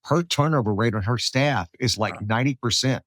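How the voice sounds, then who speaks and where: An American man hosting a casual conversational podcast, animated and repeating phrases for emphasis. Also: medium pitch, fairly quick, no echo, clean studio sound. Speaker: podcast host.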